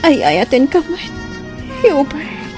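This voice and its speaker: A humble young maid in love in an Ilocano radio drama. Her voice is fairly high and shy, with an Ilocano accent, over soft music. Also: big pitch swings, slow pace, full character voice. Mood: tender, overcome. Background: background music.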